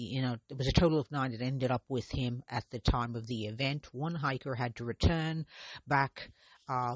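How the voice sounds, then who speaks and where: A man's voice: medium pitch, no room sound. Man hosting a dry-sounding podcast. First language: not Russian. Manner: conversational, with frequent restarts and hesitations. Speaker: podcast host. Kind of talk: solo podcast narration.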